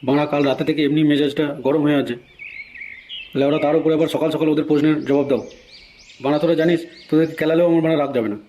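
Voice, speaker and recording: A man's voice: a little high, fairly even intonation, rapid-fire, lo-fi sound, a little hiss or hum, close to an everyday voice. A man playing a hot-tempered, foul-mouthed married neighbourhood man in a comedy cartoon, scolding and threatening. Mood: angry, scolding. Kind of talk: amateur comedy cartoon dialogue.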